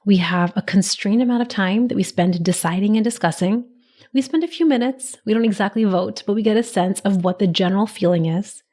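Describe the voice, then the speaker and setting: Woman in her 30s hosting a podcast solo, bit raspy from a cold, gentle encouraging coach vibe. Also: medium pitch, a little fast. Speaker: podcast host.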